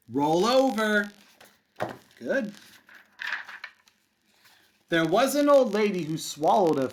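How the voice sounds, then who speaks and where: A man reads a storybook to young children in a fairly high voice that swings widely in pitch. He sounds like a kindergarten teacher, sing-song on the rhymes and encouraging in his casual asides. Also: a little hiss or hum. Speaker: kindergarten teacher reading a picture book aloud.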